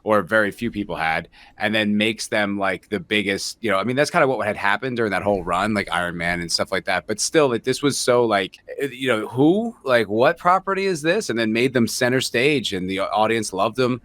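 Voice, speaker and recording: Male co-host, slightly low register, informal layman sidekick in a relaxed conversation, dry close recording. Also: brisk, hardly any pauses, no room sound. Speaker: co-host, self-described layman asking questions. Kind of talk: casual chat.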